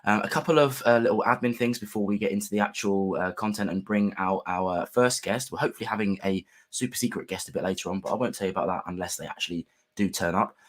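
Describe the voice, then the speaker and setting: Male livestream host, gravelly sore throat, slightly low voice, easygoing. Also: fast. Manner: friendly, many ums and restarts. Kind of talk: casual livestream chat.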